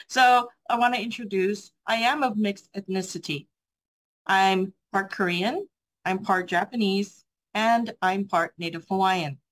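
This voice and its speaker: A woman's voice: medium pitch, takes it slowly. Encouraging woman giving a seminar talk. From USA. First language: English. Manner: earnest, encouraging, asks the audience rhetorical questions. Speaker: seminar speaker. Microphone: video call mic.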